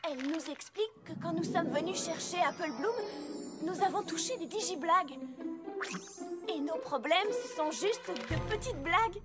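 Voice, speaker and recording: A woman's voice: extremely high pitch, lively intonation, normal speed. An actress voicing an earnest, bookish young female unicorn in a French cartoon dub, speaking articulately and expressively. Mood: puzzled, explaining. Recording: loud music behind the voice.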